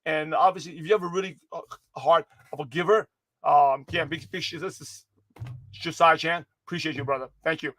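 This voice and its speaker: A man with a high, quick, slightly hoarse voice delivers a motivational pep talk straight to his viewers. He rambles energetically, corrects himself mid-word, and keeps circling back to his point. The sound is dry and close, with no room around it. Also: no echo. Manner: energetic, rambling, with many restarts and self-corrections. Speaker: motivational speaker giving a daily pep talk.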